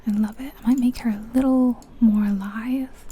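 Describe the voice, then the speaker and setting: A woman whispering softly and breathily in an unhurried, musing ASMR commentary on a video game. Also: on the high side, steady tone, slight background noise. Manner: hushed, unhurried, musing aloud.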